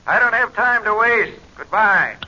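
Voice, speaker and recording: A woman's voice: medium pitch, expressive, measured pace, sharp tone, highs cut off, a little hiss or hum. A woman playing a snappy, impatient bureau clerk over a telephone in a 1940s radio drama. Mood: testy. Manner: brusque, scolding. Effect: telephone filter. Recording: thin telephone voice on old radio.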